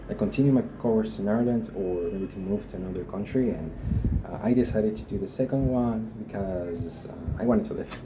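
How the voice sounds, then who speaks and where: A young man from Guatemala, talking casually and hesitantly to camera about his visa process, with many fillers. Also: lowish voice. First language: Spanish. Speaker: vlogger sharing a visa experience. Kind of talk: casual unscripted talk to camera. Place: a home in Spain. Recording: noisy room with a dog barking.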